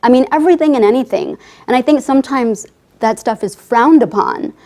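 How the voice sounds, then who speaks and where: A woman's voice: a little high, lively intonation, a little fast, darkish tone. A woman in her forties, born in Afghanistan with Farsi as her first language, earnestly telling her life story as an author guest. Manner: earnest, heartfelt, often says "I mean". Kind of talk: interview storytelling.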